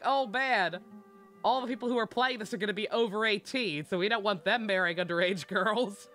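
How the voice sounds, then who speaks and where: Sarcastic woman streamer ranting over music. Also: fairly high pitch. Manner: animated ranting, sarcastic, swears freely. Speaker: game streamer. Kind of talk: casual live-stream commentary. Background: game music.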